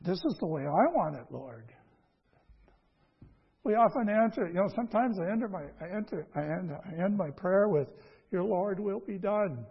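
High-voiced husky man preaching. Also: expressive, dull audio. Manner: folksy, halting, repeats phrases, asks rhetorical questions. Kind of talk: conversational sermon with scripture reading.

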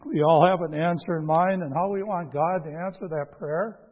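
A man preaching a conversational sermon in a folksy, halting way, repeating phrases and asking rhetorical questions. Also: fairly high pitch, steady tone, lo-fi sound. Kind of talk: conversational sermon with scripture reading.

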